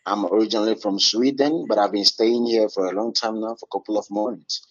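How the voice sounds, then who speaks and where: A man with a foreign accent talks haltingly, filling gaps with ums and uhs. His voice is low and husky, heard over a muffled, lo-fi online call. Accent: foreign English. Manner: hesitant, many fillers, halting. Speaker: caller.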